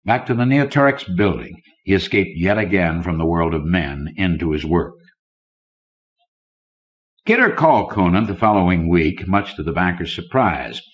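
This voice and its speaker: A man with a very low voice narrates fiction for an audiobook. He reads from a script as a storyteller. The recording is dull and lo-fi, as from an old cassette. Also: animated, normal speed.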